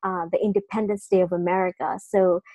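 A middle-aged woman, English her second language, slowly recounting her arrival as a refugee, with pauses and restarts. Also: fairly low pitch, flat delivery, dark tone, no echo, poor audio. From a war-torn country, came as refugee. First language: not English. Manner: slow, halting, with restarts. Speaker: guest. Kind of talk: personal storytelling in conversation.

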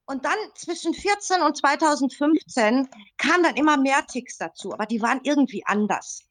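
A woman in her early fifties speaks as a podcast guest in a slightly high voice. She tells her own experience casually and explains it step by step. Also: lively intonation, moderate pace, a bit bright. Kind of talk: casual personal account.

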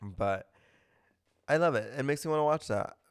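A man's voice: medium pitch, lively intonation, moderate pace, clean tone. A man hosting a podcast in a casual, gossipy chat, talking with a stuffy nose and gushing, opinionated comments. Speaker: podcast host.

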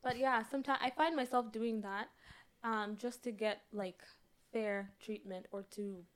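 A woman's voice: a little high, steady tone, measured pace. A woman with a Kenyan accent, a guest in a casual chat with friends, talking in an easy, chatty way. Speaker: podcast guest.

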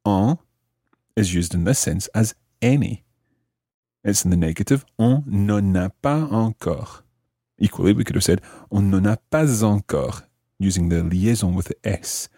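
Soft-toned, low-voiced male language teacher. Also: measured pace, no echo, studio quality. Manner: didactic, carefully enunciates and translates. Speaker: language teacher, podcast host. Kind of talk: explanatory French grammar lesson, phrase by phrase.

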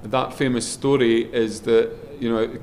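A middle-aged man with a loud voice, a guest in a relaxed interview, rambling a little with frequent ums and restarts as he talks. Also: mid-range voice, a little slow, a little hiss or hum, poor audio. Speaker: guest, head of a schools group.

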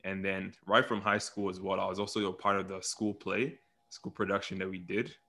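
A man's voice: deep voice, little pitch movement, a little fast, pushed and bright. A young man from Nigeria, telling his story casually as an interview guest.

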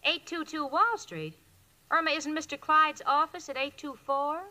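A woman's voice: sits high, normal speed, pushed and bright. An actress playing a wry, marriage-hungry young single woman in a 1940s radio comedy, with theatrical comic delivery on an old recording. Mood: sardonic. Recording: noisy vintage radio recording.

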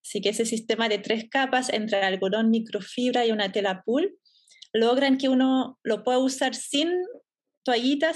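A German woman in her thirties, guest on a podcast interview, talking about her business in a relaxed way and laughing easily. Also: fairly high pitch. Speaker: entrepreneur guest.

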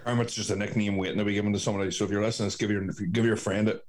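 A man with a Northern Irish accent in casual podcast chat, telling anecdotes in an animated, sweary way. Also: fairly low pitch, racing. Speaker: podcast host.

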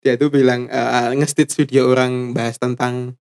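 A man's voice: mid-range voice, little pitch movement, normal speed, a little mellow, smooth. A man co-hosting a casual chat podcast, telling stories playfully and laughing often, from Java. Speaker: podcast co-host. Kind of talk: casual banter.

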